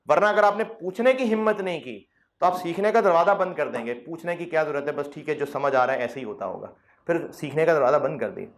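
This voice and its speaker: A male lecturer addresses his students in a classroom. His voice sits a little high, and he talks in a relaxed, conversational way, coaxing the class and teasing them with mock quotes. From Pakistan. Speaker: accounting lecturer.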